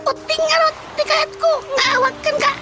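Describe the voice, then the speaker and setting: A woman's voice: extremely high pitch, little pitch movement, a little fast. A woman with an Ilocano accent playing a mocking, teasing female tormentor who giggles constantly in a radio drama comedy. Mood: giggly teasing. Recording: music behind the voice.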